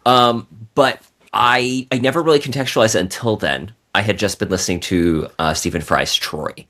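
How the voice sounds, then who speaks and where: Low-voiced man in casual conversation. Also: normal speed, sharp tone. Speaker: podcast guest, novelist.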